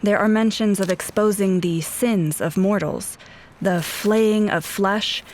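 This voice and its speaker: An actress playing a guarded, haunted police officer in a scripted audio drama, speaking in terse, brooding, deliberate phrases. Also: female voice, medium pitch, darkish tone, lightly acted. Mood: pensive, serious. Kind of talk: scripted audio drama acting with first-person narration. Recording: voice over prominent music bed. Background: dramatic music.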